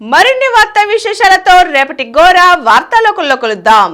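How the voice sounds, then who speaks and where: A woman's voice: very high-pitched, big pitch swings, fairly quick, fairly bright tone. A woman reading scripted news as a bulletin presenter. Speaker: news reader.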